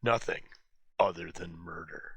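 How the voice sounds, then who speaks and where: A man's voice: low voice, unhurried, gravelly, fairly bright tone, husky, dry recording. A man giving a dramatic, portentous scripted introduction as host of an old-time radio show. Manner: theatrical, portentous.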